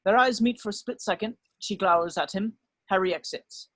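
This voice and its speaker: Male table-read narrator, notably high voice, close dry recording, reading scripted scene directions. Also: normal speed, a bit bright, dry recording.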